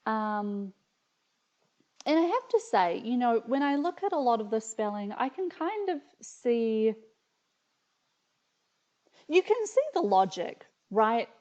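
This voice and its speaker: A woman's voice: on the high side, sing-song, takes it slowly, long pauses, darkish tone. A woman with a New Zealand accent chatting casually and reading aloud as a video host, in a hesitant, reflective, amused way, on slightly muffled audio. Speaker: video host reading her childhood stories.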